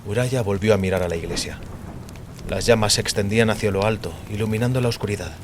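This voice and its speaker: A man's voice: fairly low pitch, fast. A man narrating scripted audio fiction with a solemn, literary delivery. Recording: clearly noisy, rain behind voice. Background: rain.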